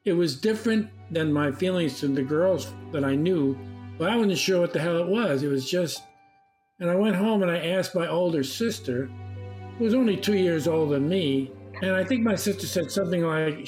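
A man's voice: on the high side, animated, moderate pace, a little mellow, poor audio. An older man casually sharing personal reminiscences in a group discussion. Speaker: discussion participant. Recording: music behind the voice.

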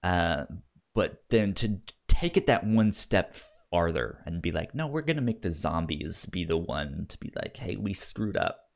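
Slow, low-voiced man with frequent stutter. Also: animated, gravelly. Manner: frequent stutter, repeating sounds and words. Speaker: podcast co-host discussing films. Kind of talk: casual film discussion.

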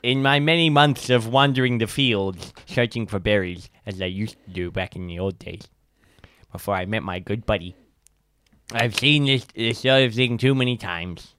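A man playing a small, big-hearted but bossy wandering adventurer, giving folksy, earnest pep talks with dramatic pauses in an improvised audio drama. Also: lowish voice, fairly bright tone, smooth, close to an everyday voice.

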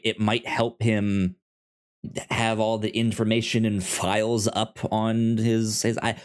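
Slow-spoken man, halting and casual. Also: fairly low pitch. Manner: halting, stammering over word starts. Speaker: podcast co-host. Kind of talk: casual TV show discussion.

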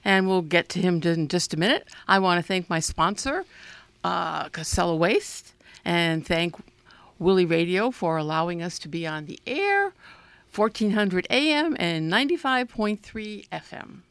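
A woman's voice: fairly low pitch, expressive, unhurried, fairly bright tone, clean tone, no room sound. A woman hosting a radio show, interviewing a guest in a chatty, informal way. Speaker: radio host.

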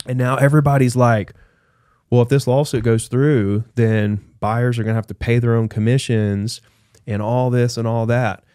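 A man who works as a real estate agent, talking in an animated, chatty panel debate with lots of fillers. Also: mid-range voice, moderate pace, no room sound, clean studio sound.

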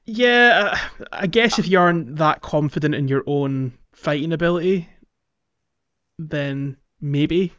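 A man's voice: on the high side, unhurried, fairly bright tone, smooth. A man co-hosting a podcast in a casual chat about gaming, on a dry, slightly dull recording. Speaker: podcast co-host.

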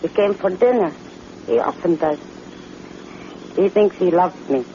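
Actress as a sultry, mellow frontier temptress. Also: female voice, on the low side, measured pace, natural voice. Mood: cool, coy. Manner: sultry, forward, languid. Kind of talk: old-time radio western acting. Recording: muffled, noisy vintage radio recording.

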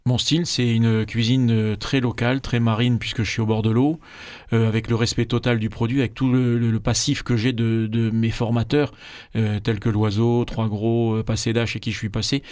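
Hesitant middle-aged chef. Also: male voice, mid-range voice, normal speed. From Marseille, France. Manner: relaxed, hesitant, many fillers and restarts. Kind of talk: radio interview guest. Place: radio studio.

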